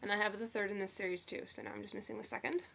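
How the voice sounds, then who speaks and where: A young woman with a slightly croaky voice from a cold, chatting upbeat about new books into a webcam in her bedroom. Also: medium pitch, fairly even intonation, fairly bright tone, slight background noise. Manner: chatty, upbeat, quick asides. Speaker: book vlogger. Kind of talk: casual book haul chat. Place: bedroom at home. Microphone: webcam at desk.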